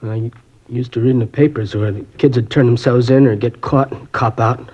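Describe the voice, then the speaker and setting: A man's voice: medium pitch, fairly even intonation, moderate pace, darkish tone, breathy edge, natural voice. An actor playing a sullen, defiant young male drug user in a 1950s radio drama. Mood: remorseful. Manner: sneering, defiant. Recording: dull old radio recording.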